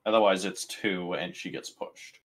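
A man's voice: low-pitched, fairly even intonation, moderate pace, a bit bright. A man chatting casually as a player in a tabletop game, blunt and joking, with some swearing. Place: online game session over voice chat.

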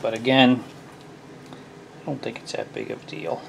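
Relaxed man narrating a workbench repair. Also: medium pitch, moderate pace, gravelly, slight background noise, poor audio. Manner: relaxed, self-deprecating, thinking aloud with restarts. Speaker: small engine repair host. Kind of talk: casual hands-on repair tutorial. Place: workshop bench, indoors.

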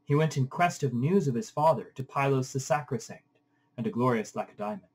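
A man's voice: medium pitch, fairly quick, darkish tone, husky, lightly acted. A man voicing an indignant goddess pleading with her father in a declamatory, impassioned read-aloud of an epic.

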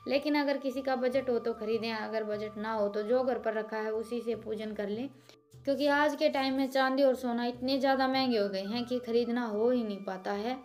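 A woman's voice: a little high, fairly even intonation, normal speed, darkish tone, soft background music. A woman in her thirties from Haryana, giving a homely, chatty voice-over as a village cooking vlogger, explaining each step to her viewers. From Haryana, India.

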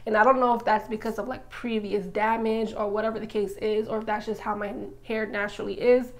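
A woman's voice: mid-range voice, level tone, a little fast. A woman in her twenties, a hair vlogger, narrating in a casual, chatty voice-over full of filler words like "like".

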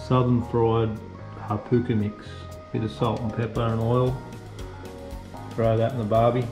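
Casting note: relaxed man, middle pitch, outdoor fishing and cooking vlog with music underneath. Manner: laid-back, explains as he cooks. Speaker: fishing vlogger. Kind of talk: casual fishing and cooking commentary. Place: outdoors, on a boat and at a barbecue.

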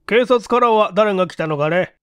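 A man's voice: high-pitched, moderate pace, clear, resonant tone, dry recording, studio quality, pitched above the performer's own voice, natural voice. A man voicing an authoritative, probing police chief in a mystery audiobook, with commanding, old-fashioned official speech. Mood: probing, stern. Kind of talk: dramatic audiobook character acting.

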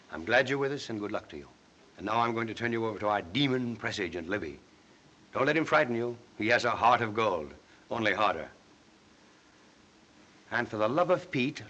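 A man's voice: mid-range voice, bright, forceful tone, lo-fi sound. A man playing a kindly, wry movie studio boss in an old film, avuncular and plain-spoken. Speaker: actor. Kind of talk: 1930s film acting. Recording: faintly hissy old film soundtrack.

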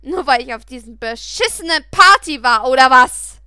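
A woman's voice: high voice, lively intonation, moderate pace, sharp tone, smooth, no echo, studio quality, exaggerated voice. A woman voicing an angry, defiant sixteen-year-old girl in an audiobook, sarcastic and confrontational. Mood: furious.

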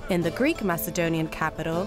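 A woman delivers measured news narration in a lowish voice. Music plays clearly underneath her. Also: lively intonation. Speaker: news reporter.

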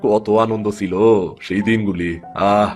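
A man's voice: lowish voice, steady tone, slow, soft background music. A man playing a poor, good-natured village fisherman and father in a cartoon, speaking plaintively with a rural eastern Bengali accent. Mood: fond. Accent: rural eastern Bengali (Bangladeshi). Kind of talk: dramatic cartoon story dub.

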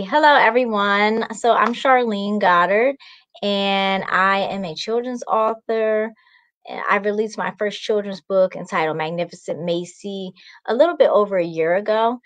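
A woman in her thirties, a children's author reading expressively on a video call from a small room. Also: mid-range voice. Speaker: children's author, guest reader. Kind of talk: storybook read-aloud.

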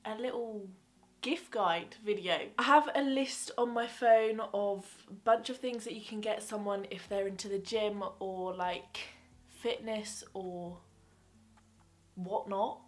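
A young woman in her twenties chatting casually to camera in a gift-guide vlog, bubbly and rambling, often restarting her sentences, recorded in a small bedroom at desk distance from the camera. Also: fairly high pitch. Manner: bubbly, rambling, self-correcting. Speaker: fitness vlogger. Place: small bedroom with fairy-light backdrop.

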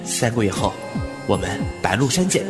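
一名男演员在武侠广播剧中饰演一位莽撞顽皮、言辞犀利的年轻侠客。. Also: male voice, lowish voice, sing-song, normal speed, loud music behind the voice. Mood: determined. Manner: impulsive, sharp-tongued, irreverent. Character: brash, cheeky young male martial-arts hero. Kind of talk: Chinese wuxia audio drama acting.

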